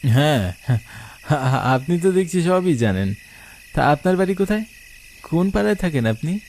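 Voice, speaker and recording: Man acting a nervous newlywed village shopkeeper, pitched up. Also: big pitch swings, moderate pace, darkish tone. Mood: curious, surprised. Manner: polite, hesitant, questioning. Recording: clear background noise of night crickets.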